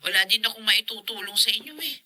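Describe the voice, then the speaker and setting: Maalalahaning ate, napakatinis ng tunog. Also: female voice, fairly high pitch, fast talker, pushed and bright. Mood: apologetic. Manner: concerned, apologetic. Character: caring, hard-up older sister, adult, apologetic. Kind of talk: Filipino radio drama acting.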